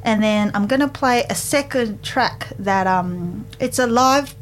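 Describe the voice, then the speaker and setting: A woman with a Japanese accent hosting a community radio show in a studio, chatty and quick to laugh. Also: mid-range voice, a little hiss or hum. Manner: chatty, laughs often, switches to rapid Japanese. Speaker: radio host.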